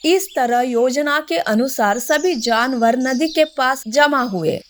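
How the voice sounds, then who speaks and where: A woman's voice: high-pitched, slow pace, a little hiss or hum. A woman narrating a scripted children's story.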